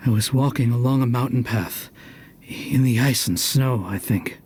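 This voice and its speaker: A man voicing a drowsy male villager in a video game who has just woken, speaking slowly and uncertainly. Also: medium pitch, slight background noise, lightly acted. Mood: dazed. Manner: slow, drowsy and unsure. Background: soft game music.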